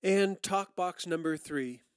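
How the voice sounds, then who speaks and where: A man's voice: sits high, slow pace, heavy background noise. A man presenting a gear comparison in a casual, explanatory way, plain-spoken and feeling his way through his sentences. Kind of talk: casual explanatory demo talk.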